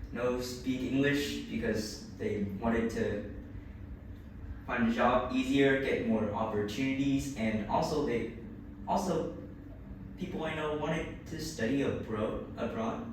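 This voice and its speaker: A man's voice: mid-range voice, unhurried. A boy in his early teens from Vietnam, a native Vietnamese speaker with a Vietnamese accent, answering a speaking test hesitantly with many restarts. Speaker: student taking a speaking test. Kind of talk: answering exam questions. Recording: echoey room, distant mic, background noise.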